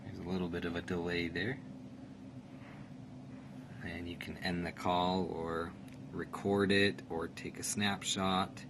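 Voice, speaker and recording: Guy, really deep voice, casual matter-of-fact how-to walkthrough, noticeable room noise. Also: level tone, slow pace, long pauses. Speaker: product reviewer giving a setup tutorial. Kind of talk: casual step-by-step tutorial narration. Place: indoors in a room. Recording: clear background noise, room ambience.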